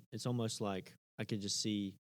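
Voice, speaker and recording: A man's voice: on the low side, a little slow, a little mellow, dry recording, studio quality. A man, a church member, talking earnestly in casual conversation, often stopping and restarting.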